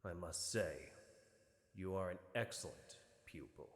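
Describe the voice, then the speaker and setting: Very deep, echoing old sword master. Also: male voice, expressive. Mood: solemn approval. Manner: grave, formal, measured. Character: stern, solitary old male nail master teaching swordsmanship. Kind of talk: scripted video game dialogue. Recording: cavernous echo, long reverb, noisy.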